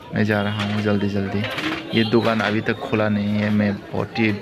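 Low, monotone man vlogging. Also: normal speed. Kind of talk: casual walk-through vlog commentary. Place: outdoor street market, walking. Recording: loud busy outdoor crowd noise.

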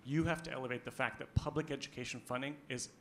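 A man's voice: medium pitch, steady tone, fast talker, a bit bright, a little hiss or hum. A man in his thirties speaking earnestly and emphatically into a handheld mic at a school board meeting, with frequent ums. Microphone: handheld microphone held close.

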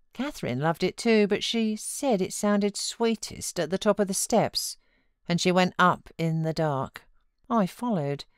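A British woman reads classic fiction aloud as an audiobook narrator. Her voice sits low for a woman, and the recording is close and dry. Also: clear, resonant tone, no echo. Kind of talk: scripted narration of a classic novel.

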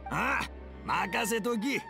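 A man's voice: high-pitched, expressive, gravelly, over music, exaggerated voice. A man voicing a genial, hard-of-hearing old fireworks craftsman with a Kansai accent, hearty and rambling, in an anime game. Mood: easygoing. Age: older. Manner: hearty, rambling, slow to catch on.

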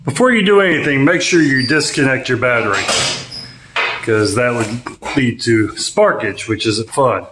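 A man's voice: medium pitch, expressive, measured pace. A man in his thirties giving a casual, offhand hands-on product review and tutorial while he works. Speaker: host of a DIY car audio video. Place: home garage, at the car and workbench. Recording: roomy echo, faint noise and clicks.

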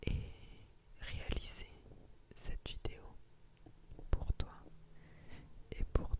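A woman speaks in a breathy whisper, very close to the mic, in the style of an ASMR creator. Her delivery is slow and hushed, broken into short phrases addressed to her viewers. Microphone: close microphone on a boom arm.

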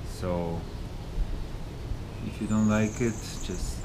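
A man's voice: steady tone, takes it slowly. A young man chatting casually to camera as a laid-back travel vlogger, recorded outdoors. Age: young adult. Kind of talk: casual vlog chat to camera. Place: hotel balcony, outdoors. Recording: noisy outdoor audio with low rumble. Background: rumbling background noise.

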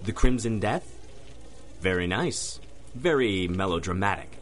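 An actor playing an arrogant, condescending sanitarium doctor in a radio drama, pompous and clinical. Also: male voice, deep voice, expressive, measured pace, a little hiss or hum. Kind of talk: 1930s-style radio drama acting.

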